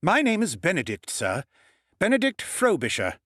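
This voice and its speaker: A man voicing a courteous old male automaton butler who speaks in a calm, formal way. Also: high-pitched, normal speed, no room sound, studio quality, voice pushed up, heavily acted.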